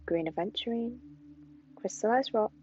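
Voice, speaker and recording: A young woman with a soft, soothing voice, chatting casually as a tarot card reader in a small room at home, filmed by a camera across a desk. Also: fairly high pitch, highs cut off. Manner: chatty and rambling with fillers, later slow and hushed. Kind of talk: casual pick-a-card reading, then guided meditation.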